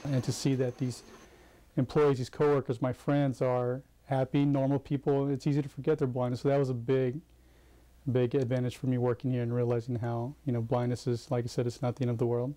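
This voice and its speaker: A man's voice: mid-range voice, level tone, brisk, dark tone, low-quality recording. A man speaking informally and reflectively as an interviewee. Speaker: interviewee, human resources manager.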